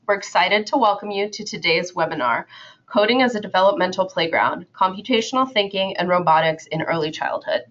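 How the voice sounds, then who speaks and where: A woman's voice: lowish voice, fairly quick, fairly bright tone. A woman hosting a webinar as its coordinator, reading a formal, scripted introduction. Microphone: computer microphone in an online webinar. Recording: slightly muffled webinar audio.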